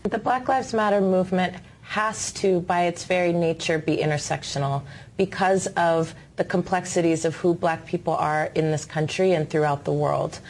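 A woman activist answers an interview question with articulate, deliberate points. Her voice is low-pitched. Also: steady tone, slight background noise.

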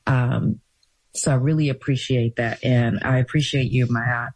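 Breathy, unhurried radio host woman. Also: extremely low pitch, steady tone, darkish tone, dry recording, low-quality recording. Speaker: radio talk show host. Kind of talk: call-in radio talk.

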